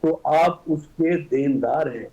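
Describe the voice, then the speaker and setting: A man's voice: a little high, slow pace, warm tone, lo-fi sound. A middle-aged man with a Pakistani accent, a politician arguing his party's position in a panel debate. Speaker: senator and party guest.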